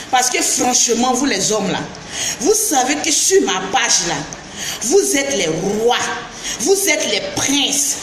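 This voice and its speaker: A woman with an Ivorian French accent, down with the flu, chatting on a live stream, greeting viewers by name and breaking into shouts. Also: high-pitched. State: has the flu. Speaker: beauty vlogger live-streaming. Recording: echoey room with background noise.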